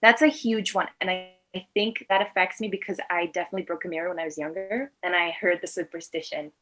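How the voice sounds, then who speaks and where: A woman's voice: lowish voice, fairly even intonation, quick pace, pushed and bright, low-quality recording. A woman in her twenties from Venezuela, a native Spanish speaker, answering interview questions conversationally. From Venezuela and Latin America. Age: 20s. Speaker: interview guest, actor and filmmaker.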